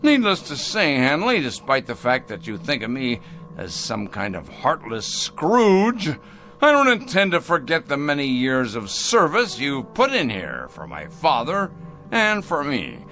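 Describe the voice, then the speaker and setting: An actor playing a cold, pompous factory boss in a radio drama, with a theatrical, condescending manner. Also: male voice, medium pitch, huge pitch swings, unhurried, sharp tone, faint music behind, exaggerated voice.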